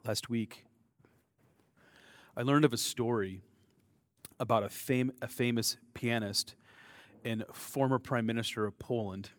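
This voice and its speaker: Casual American preacher with a dry sound. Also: male voice, medium pitch, fairly even intonation, unhurried, long pauses, dry recording. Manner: conversational, self-deprecating, frequent fillers and restarts. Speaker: pastor. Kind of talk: sermon.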